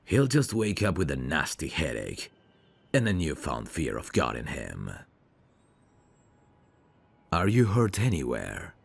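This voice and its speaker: A man playing a protective, self-assured, flirtatious mafia heir in an ASMR roleplay, commanding and smooth-talking. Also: a bass voice, sing-song, takes it slowly, long pauses, no room sound, heavily acted. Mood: tender, flirtatious.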